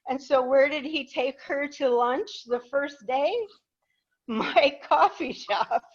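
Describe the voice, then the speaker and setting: An older woman from Peru tells stories to a live audience in an unhurried way, with a slightly high voice. She is chatty and self-deprecating. The recording is dull and lo-fi. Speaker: guest speaker. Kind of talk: anecdotal storytelling to a live audience.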